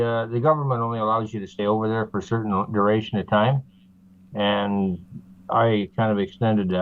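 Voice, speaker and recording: A man from Canada, a guest in an interview, explaining steadily with frequent pauses and fillers over a video call. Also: on the low side. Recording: tinny phone-like line.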